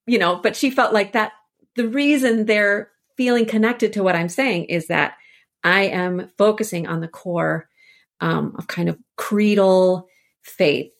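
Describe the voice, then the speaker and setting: A woman's voice: medium pitch, a little slow, creaky. A woman scholar speaking as a podcast guest, explaining ideas thoughtfully and conversationally, often repeating words as she works out a thought.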